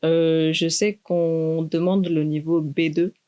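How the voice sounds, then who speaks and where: A young woman, a doctoral student speaking in a thoughtful, measured way with many pauses in a university podcast interview. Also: sits low, level tone, warm tone. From Greece. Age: 20s. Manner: measured, thoughtful, with frequent hesitations.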